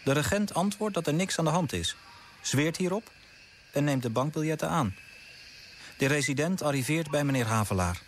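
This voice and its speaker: A man's voice: a little high, animated, moderate pace, slight background noise, natural voice. A man acting as the matter-of-fact narrator of a Dutch radio play, reading scripted storytelling.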